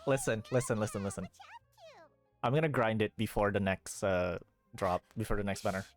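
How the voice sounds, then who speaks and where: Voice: medium pitch, steady tone, brisk, faint music behind. A game streamer chatting casually on a livestream, rambling playfully and repeating phrases as if to themselves. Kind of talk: casual livestream chat while gaming.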